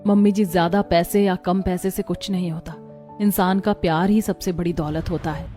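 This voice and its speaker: A woman voicing a gentle, earnest young daughter-in-law in a cartoon, speaking politely. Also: fairly low pitch, steady tone, moderate pace, soft background music, lightly acted.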